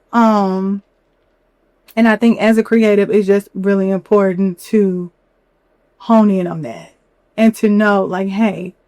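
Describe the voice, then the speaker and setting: A young woman hosting a solo podcast, a little hoarse from a cold, talking candidly with lots of "you know". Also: mid-range voice, a little mellow, no room sound. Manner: candid, chatty, many fillers and "you know". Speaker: podcast host. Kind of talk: reflective solo podcast talk.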